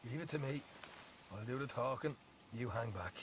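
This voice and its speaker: An actor playing a brash, boastful young western outlaw, chatty and self-justifying, in a video game cutscene. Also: male voice, mid-range voice, lively intonation, moderate pace, a little hiss or hum, natural voice. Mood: hushed scheming. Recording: game audio with loud outdoor ambience. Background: horse hooves, outdoor ambience.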